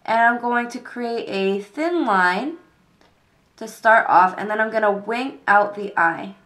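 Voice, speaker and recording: A young woman with a cold and a congested voice, calmly talking through a makeup tutorial step by step. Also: a little high, slow. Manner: calm step-by-step explaining with fillers. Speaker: beauty vlogger.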